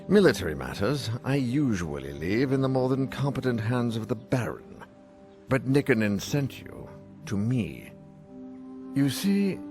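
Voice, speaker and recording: A man voicing a formal noble lord of a frontier town in a video game, with a measured, probing manner. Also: lowish voice. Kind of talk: video game cutscene acting.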